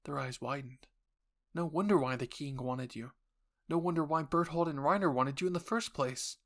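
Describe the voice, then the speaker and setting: A man's voice: on the high side, moderate pace, fairly bright tone. A man narrating a scripted second-person story as the host of a roleplay channel.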